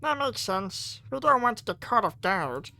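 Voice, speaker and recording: A man voicing a calm impostor alien posing as a childhood friend in a visual novel, giving brief agreeable replies. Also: sits high, normal speed, gravelly, voice pushed up, exaggerated voice. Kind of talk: visual novel dialogue read aloud.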